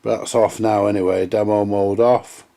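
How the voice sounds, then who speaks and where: Low, gravelly British man muttering as he works. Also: flat delivery, normal speed, mellow. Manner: muttering to himself while working, mildly grumbling and sweary. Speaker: host of a vintage radio repair vlog. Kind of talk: casual hands-on repair commentary, talking to viewers.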